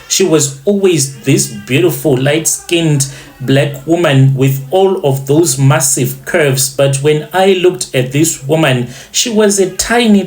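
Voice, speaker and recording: Male narrator for first-person dramatic tales, medium pitch, deliberate and theatrical, leaning on repetition for effect. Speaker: storyteller.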